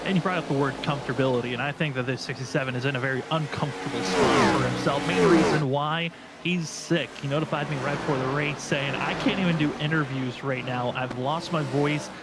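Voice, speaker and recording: A man's voice: mid-range voice, steady tone, a little fast, gravelly, loud music behind the voice. A man giving live play-by-play motorsport commentary as a race broadcaster. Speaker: play-by-play sim racing commentator. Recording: loud engine and vehicle noise.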